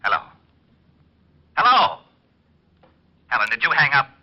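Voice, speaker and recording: A man playing a gruff, impatient police lieutenant on the telephone in a 1940s radio drama. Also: mid-range voice, very animated, a little slow. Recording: dull, band-limited vintage radio recording.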